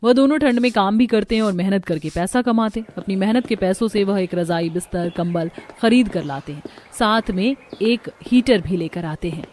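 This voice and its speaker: A woman tells a scripted cartoon moral story as its narrator. Her voice sits at an average female pitch, and she delivers the tale as a storyteller would. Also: moderate pace, few pauses, a little mellow, a little hiss or hum.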